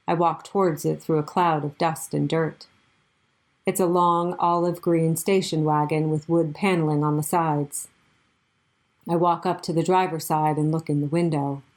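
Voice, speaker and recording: Low-voiced woman narrating a story. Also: darkish tone. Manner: measured, short deliberate phrases. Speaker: podcast narrator. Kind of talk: scripted first-person story narration.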